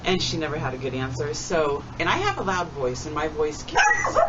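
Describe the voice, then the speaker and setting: A woman's voice: sits low, big pitch swings, a little fast. A woman vlogger telling stories in a rambling, folksy, chatty way with homespun phrases. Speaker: vlogger doing a tag video. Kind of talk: rambling casual storytelling. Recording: distant mic, dull sound, noisy background.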